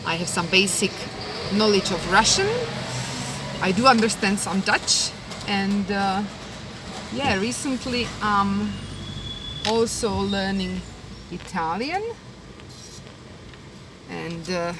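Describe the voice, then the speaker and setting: A woman in her thirties with a Czech accent, talking casually about her work as a tour guide, unhurried and thoughtful with many pauses and fillers. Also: medium pitch. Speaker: tour guide and language teacher. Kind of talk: casual talk to camera. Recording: distant mic, loud traffic noise outdoors.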